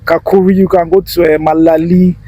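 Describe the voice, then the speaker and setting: A young Nigerian man with a Nigerian accent, chatting as a guest in a relaxed interview and laughing often. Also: sits high, a little fast, dry recording. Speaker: guest actor. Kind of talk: casual interview chat.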